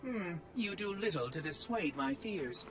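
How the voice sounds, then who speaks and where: An actor playing a fussy, condescending machine intelligence in a video game, with precise, prim and patronising phrasing. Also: male voice, high voice, expressive, a little slow, over music, low-quality recording. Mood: slyly probing. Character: fussy, condescending male artificial intelligence machine, sly and verbose. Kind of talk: video game cutscene acting.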